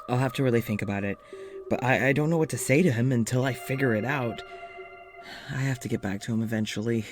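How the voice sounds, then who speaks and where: Self-doubting, nervous man male actor for audio drama monologue; mid-pitched, halting, confessional, faint music underneath. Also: close to an everyday voice. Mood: anxious. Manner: halting, rambling, self-deprecating. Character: anxious, self-doubting male doctor confiding in an audio journal.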